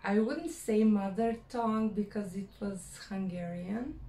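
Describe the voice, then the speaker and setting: A woman from Croatia with a Croatian accent, speaking English as a second language in a personal vlog, pausing often and searching for words. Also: medium pitch, warm tone, reverberant. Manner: hesitant, many fillers, searching for words. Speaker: vlogger.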